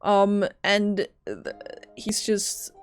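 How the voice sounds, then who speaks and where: A woman's voice: mid-range voice, sing-song, extremely slow, clear, resonant tone, faint music behind. Young woman casually commentating a game. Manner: offhand, jokey asides. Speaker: game streamer.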